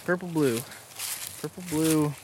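A man's voice: on the high side, unhurried, background noise, close to an everyday voice. An actor playing a wary, nervous man in a naturalistic audio drama, talking in a casual, hesitant mutter. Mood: focused muttering. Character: wary, nervous man called Doc, lost outdoors.

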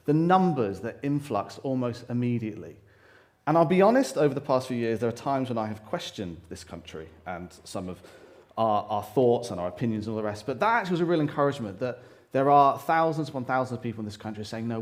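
A man delivers a sermon into a microphone in a church hall, his voice loud and carrying at a middling pitch. He speaks earnestly, often pausing, restarting and reaching for words as he goes. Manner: earnest, with frequent fillers and restarts. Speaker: preacher. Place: church hall, live congregation. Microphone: handheld or stand microphone.

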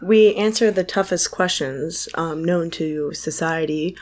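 A young woman co-hosting a podcast, slightly hoarse, with dry, sarcastic banter. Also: low voice, a little slow. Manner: deadpan, sarcastic. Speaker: podcast co-host. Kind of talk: joking casual banter.

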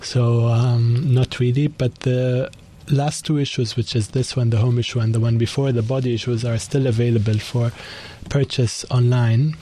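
Guest, young man from Lebanon, mellow tone, quick thoughtful delivery with fillers, radio studio. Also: mid-range voice, steady tone, a little hiss or hum. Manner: fast, thoughtful, with many fillers. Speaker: guest, magazine founder. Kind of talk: radio interview conversation.

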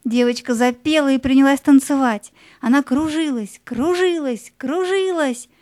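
A woman narrating a scripted fairy tale as an expressive storyteller. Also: high voice, moderate pace, no echo, clean studio sound.

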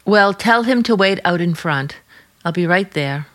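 A woman's voice: on the low side, lively intonation, a little slow, a bit bright. An actress playing an earnest, troubled young American small-town woman with restrained resolve, in vintage radio drama style. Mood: guarded.

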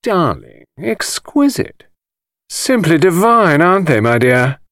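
A man's voice: on the high side, sing-song, slow pace, a bit bright, no echo, studio quality, pitched above the performer's own voice, heavily acted. A man voicing gushing, gossipy upper-class English society women in an audiobook, with an affected drawl. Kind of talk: audiobook character voice.

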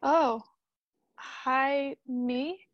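A woman plays an unsettled married woman in a radio drama. Her voice is high and slow, and she answers in a halting, hesitant way. The recording is dry and a little dull. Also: steady tone, dry recording. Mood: bewildered, hesitant. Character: Hannah, unsettled married woman, a grown daughter.

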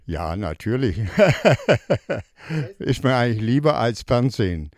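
A man's voice: medium pitch, very animated, slow, dry recording, clean studio sound. Cheerful man with a Swabian accent. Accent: Swabian German. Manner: cheerful, laughs often. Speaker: studio visitor.

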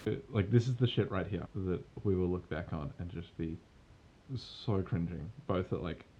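A man's voice: steady tone, moderate pace, mellow. A young man with an Australian accent, co-hosting a casual podcast chat in a joking, crude, playful way. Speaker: podcast co-host. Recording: dull lo-fi test recording with faint rumble.

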